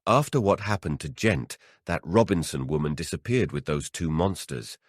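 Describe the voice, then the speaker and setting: A man's voice: rumbling low, lively intonation, normal speed, no echo, studio quality. A man voicing a cranky, emphatic old man. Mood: grim, emphatic. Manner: cranky, emphatic, swearing storyteller. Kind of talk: dramatic audiobook character voice.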